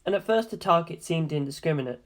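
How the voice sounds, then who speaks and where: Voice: mid-range voice, animated, fast talker. A narrator reading a scripted first-person story for an audiobook.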